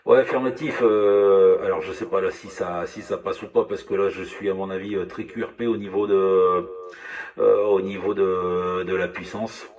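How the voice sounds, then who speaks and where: A man's voice: deep voice, fairly even intonation, normal speed, a little mellow, recorded from a distance. A man chatting casually over two-way radio as a hobby operator, speaking hesitantly, repeating himself and often apologetic.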